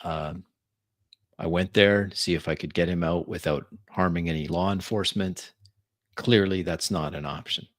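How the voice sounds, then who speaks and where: A man playing a deferential vampire who speaks haltingly and apologetically to a superior in a tabletop roleplay. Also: sits low, fairly even intonation, no echo, clean studio sound. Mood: grave, urgent. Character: deferential vampire pleading with a powerful sheriff.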